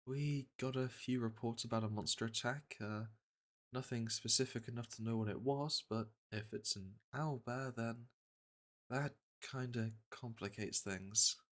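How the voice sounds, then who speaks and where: Bright-voiced veteran monster hunter, acted by a man. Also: mid-range voice, measured pace, close to an everyday voice. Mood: explaining, concerned. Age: middle-aged. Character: veteran monster hunter, gruff but caring male patroller. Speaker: roleplay voice actor. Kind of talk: soft-spoken ASMR fantasy roleplay.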